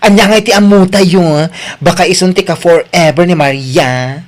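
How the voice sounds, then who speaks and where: A man's voice: high-pitched, normal speed, close to an everyday voice. A man playing a breezy, teasing office coworker in an Ilocano drama, with an Ilocano accent. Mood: relaxed, teasing. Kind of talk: Ilocano radio-style drama acting.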